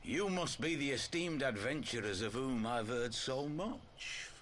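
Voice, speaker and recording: An actor playing a gruff, solemn and fervent male resistance fighter in a video game cutscene. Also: medium pitch, expressive, a little slow, fairly bright tone, slight background noise. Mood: measured.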